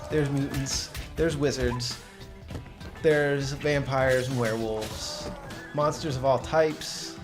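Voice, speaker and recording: A man's voice: mid-range voice, steady tone, slow. A man chatting casually and explaining things as he plays and comments on a video game. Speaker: gaming commentator, co-op player. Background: game music.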